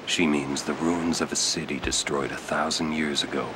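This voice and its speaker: A stern, world-weary guardian from a fantasy game. His extremely deep voice is grave and terse, giving commands without fuss. A clear surf rumble runs underneath. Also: normal speed. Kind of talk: video game cutscene voice acting. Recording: game audio over steady surf-like rumble.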